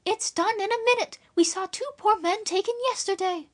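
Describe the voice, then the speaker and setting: Woman narrator as an anxious young girl; pitched way up, urgent. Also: moderate pace. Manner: high, urgent, pleading.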